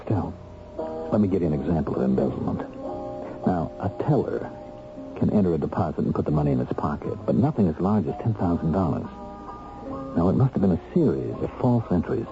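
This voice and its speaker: A deep-voiced, embittered ex-convict husband in a radio play. He speaks earnestly and methodically, set on clearing himself. The recording is dulled and old, with music in it. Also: expressive, brisk, highs cut off. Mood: earnest explaining. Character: bitter, determined wronged bookkeeper, freed convict husband. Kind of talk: radio drama acting.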